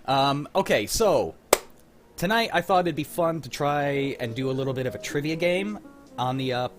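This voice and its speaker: A man's voice: on the high side, lively intonation, moderate pace, music in the background. A man hosting a casual livestream, chatting informally with friends and running a trivia game in an easygoing way. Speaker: host and quizmaster.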